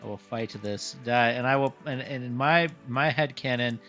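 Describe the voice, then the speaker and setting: A man's voice: medium pitch, moderate pace. A man with a mid-range voice co-hosts a casual podcast. He talks in playful, joking banter. Faint music sits underneath him. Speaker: podcast co-host.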